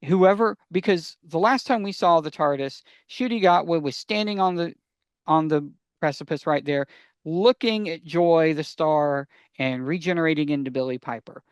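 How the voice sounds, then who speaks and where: A man's voice: on the high side, moderate pace, clear, resonant tone, no room sound. An American man co-hosting a podcast, explaining things emphatically and repeating phrases for stress. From United States. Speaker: podcast co-host.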